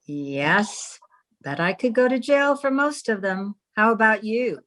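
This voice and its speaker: A woman acting the wry, romantic leading lady of an old-time radio comedy. She takes her lines slowly, with lively swings of pitch.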